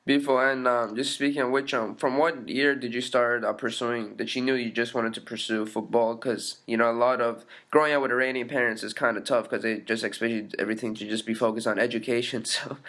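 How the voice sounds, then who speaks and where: A man's voice: medium pitch, fairly even intonation, brisk, hardly any pauses, fairly bright tone. A man conducting an interview, asking long, informal questions padded with fillers.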